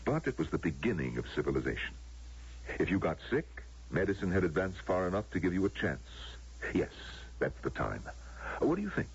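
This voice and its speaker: An actor playing a solemn, knowing man who grants wishes, gravelly and persuasive in a radio drama style. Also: low voice, very animated, exaggerated voice. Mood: musing. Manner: measured, grave, persuasive, theatrical. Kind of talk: American radio drama acting. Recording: muffled, lo-fi old radio broadcast.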